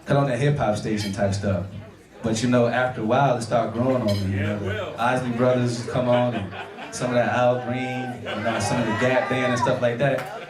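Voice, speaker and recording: A man's voice: medium pitch, moderate pace. A man from Detroit, a jazz horn player, chatting casually with the audience between songs, his voice a little worn. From Detroit, Michigan. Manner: relaxed, chatty, asks the crowd questions. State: losing his voice. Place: live club show with audience. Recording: roomy live club recording from a distance, crowd noise.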